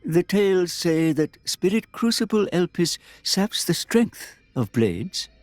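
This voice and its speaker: A man voicing a wise, grave old mentor with a creaky voice in a fantasy video game. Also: high-pitched, big pitch swings, takes it slowly, darkish tone, dry recording, faint music behind, exaggerated voice.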